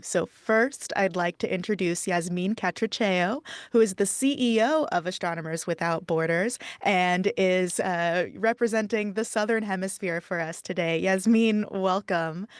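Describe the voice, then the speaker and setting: An upbeat woman moderates a live online panel and welcomes each guest in a friendly way. She sounds close and dry on the mic, the way a video call does, and her pitch sits in the middle. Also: clear, resonant tone, no echo. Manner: upbeat, friendly, laughs easily.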